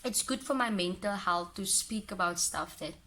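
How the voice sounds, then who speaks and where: A woman's voice: medium pitch, moderate pace, pushed and bright. A young woman with an Afrikaans accent, telling a personal story in a casual vlog, hesitant with many fillers and restarts. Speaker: vlogger.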